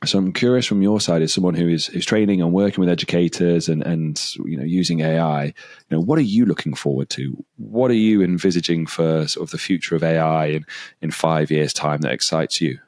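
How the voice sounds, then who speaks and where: A man's voice: deep voice, fairly quick, darkish tone, dry recording, studio quality. A man hosting a podcast interview, talking in a loose, chatty way, peppered with "like" and repeated phrases. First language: English. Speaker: podcast host. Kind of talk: casual interview chat.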